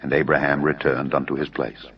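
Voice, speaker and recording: Deep-voiced solemn male scripture narrator. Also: flat delivery, a little fast, husky. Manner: formal, solemn. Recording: dull narrow audio over music.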